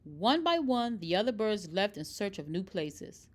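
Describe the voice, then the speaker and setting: A woman reading a children's picture book aloud in expressive, scripted narration. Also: medium pitch, unhurried. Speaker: storybook reader.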